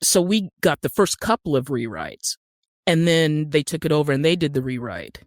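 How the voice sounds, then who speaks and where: A woman's voice: deep voice, very animated, moderate pace, no room sound, clean studio sound. Casting: American woman, podcast guest type, conversational, emphatic with wry humor, very little room sound. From Utah, United States. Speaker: guest actor and screenwriter. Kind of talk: casual podcast interview.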